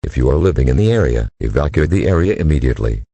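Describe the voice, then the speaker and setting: A man with an extremely low voice delivers formal emergency alert announcements. His pitch swings noticeably as he reads, and the delivery stays stiff and official, like a scripted public warning. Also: expressive, fast, warm tone, husky, no echo.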